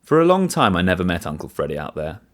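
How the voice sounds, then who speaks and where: Deep-voiced, animated male story narrator. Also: quick pace. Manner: expressive, storytelling. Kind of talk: first-person short story reading.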